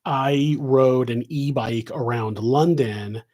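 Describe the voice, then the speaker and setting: Host, male, West Coast American accent, casual live Q&A, digressive and filler-heavy, mid-pitched. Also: slow, a little mellow. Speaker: podcast host answering questions. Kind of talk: rambling live Q&A.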